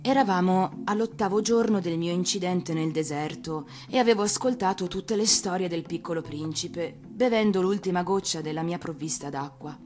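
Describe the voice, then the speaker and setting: A woman's voice: fairly low pitch, moderate pace, hardly any pauses, a little mellow. Woman narrating a storybook over music. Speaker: actress reading a fairy tale on radio.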